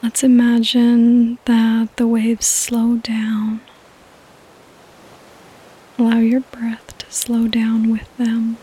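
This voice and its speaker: Female meditation podcast host, slightly high but hushed and breathy, soothing, very slow. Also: completely flat delivery, rough recording. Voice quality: breathy, often near a whisper. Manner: soothing, gentle, unhurried. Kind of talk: soft-spoken guided sleep meditation.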